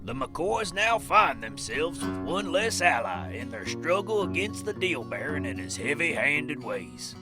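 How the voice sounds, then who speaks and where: A man's voice: on the high side, very animated, measured pace, hardly any pauses. A man playing a wry, rambling western narrator who tells a comic tale with folksy, conversational asides. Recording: loud guitar music under the voice.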